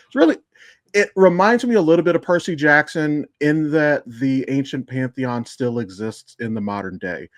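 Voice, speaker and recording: A man's voice: fairly high pitch, normal speed, no room sound. Rambling man in casual podcast chat, dry recording. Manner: rambling, digressive storyteller. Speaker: podcast co-host and amateur voice actor.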